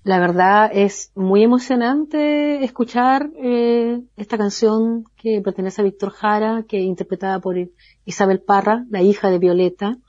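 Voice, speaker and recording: Chilean woman, mellow tone, dry muffled sound, slow hesitant guest talk. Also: mid-range voice, no echo. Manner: slow, thoughtful, many hesitations. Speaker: guest from a community cultural center. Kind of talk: remote interview talk.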